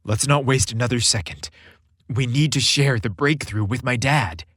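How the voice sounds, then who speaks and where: A man's voice: mid-range voice, normal speed, fairly bright tone, dry recording, studio quality, natural voice. A man voicing a cheerful, playful young man with an enthusiastic, emphatic delivery in a romantic holiday story. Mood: playful, warm.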